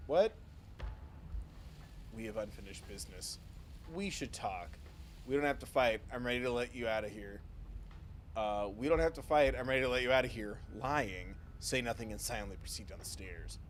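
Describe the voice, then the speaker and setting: A man's voice: medium pitch, animated, moderate pace. Male streamer, live gameplay commentary, wry reactions, faint background noise. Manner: wry, reacting and reading choices aloud. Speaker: game streamer.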